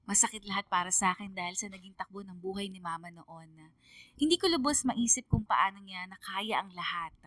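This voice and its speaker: A woman with a bright, forceful voice narrates a scripted radio drama. The sound is dry and close, with music underneath. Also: medium pitch, dry recording. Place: dry studio. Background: soft music.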